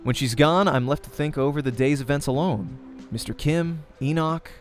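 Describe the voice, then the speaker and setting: A man's voice: a little high, normal speed. Man narrating a visual novel over music. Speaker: let's play host and reader.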